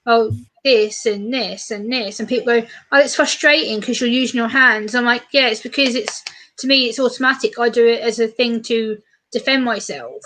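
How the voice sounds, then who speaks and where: A woman from the UK chatting fast and animatedly on a casual live stream, co-hosting and reading out viewer comments. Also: fairly high pitch, fairly bright tone, slight background noise. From United Kingdom.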